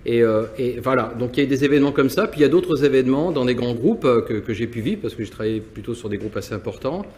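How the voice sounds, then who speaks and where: A man's voice: mid-range voice, expressive, fast, darkish tone, slight background noise. A man from Nantes who runs a company, answering interview questions hesitantly with many restarts and repeated words. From France, Nantes. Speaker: business owner. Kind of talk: interview answers, personal reflection.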